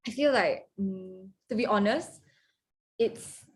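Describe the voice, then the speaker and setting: A woman's voice: mid-range voice, slow pace. A woman from Singapore with a Singaporean accent, a firefighter chatting casually and laughing in a group video call. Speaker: firefighter and discussion host.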